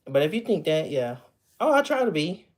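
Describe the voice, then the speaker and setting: Chatty man with a fairly high voice. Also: very animated, brisk. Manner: loose and chatty, laughs often, trails off and restarts. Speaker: streamer chatting with viewers. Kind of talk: casual livestream chat. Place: at home with memorabilia behind him.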